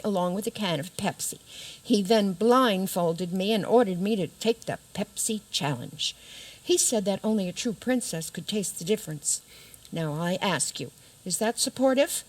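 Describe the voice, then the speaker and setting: A woman's voice: mid-range voice, poor audio. A woman playing a vain, indignant fairy-tale princess with a haughty manner in a comic radio play. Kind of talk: comic community radio theater.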